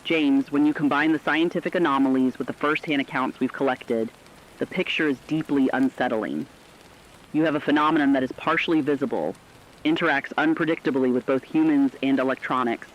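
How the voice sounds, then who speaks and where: A man's voice: mid-range voice, steady tone, brisk, fairly bright tone, lightly acted. A man playing a solemn, articulate radio co-anchor who reports a disaster in a scripted apocalypse drama. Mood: solemn, ominous. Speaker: news co-anchor. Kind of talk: scripted radio news bulletin. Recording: muffled band-limited radio sound, rain noise.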